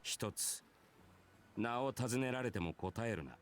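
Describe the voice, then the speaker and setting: An actor playing a brash, easygoing male warrior in a visual novel, telling his past in rough, casual, nonchalant speech. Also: mid-range voice, animated, moderate pace, slight background noise, lightly acted. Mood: nonchalant, wistful. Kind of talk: Japanese visual novel voice acting.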